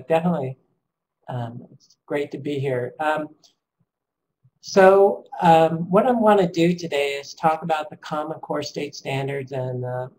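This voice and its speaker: Raspy-voiced man presenting a webinar. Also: mid-range voice, a little mellow, slight background noise, rough recording. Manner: hesitant, many ums, measured. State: strained voice.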